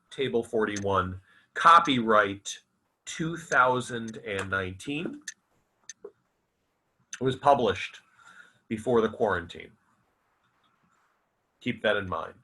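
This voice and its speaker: A man reciting his own literary fiction as its author, in a theatrical, deliberate and emphatic manner. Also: lowish voice, sharp tone. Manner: dramatic, deliberate, emphatic with repeated phrases. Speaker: author reading own novel aloud.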